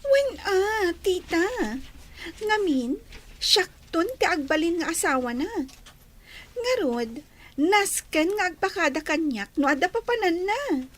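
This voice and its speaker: A woman's voice: extremely high pitch, big pitch swings, slow pace, fairly bright tone, full character voice. A woman playing a possessive, lovestruck young girl in an audio drama, whiny and insistent in her pleading. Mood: eager, insistent. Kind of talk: melodramatic audio drama acting.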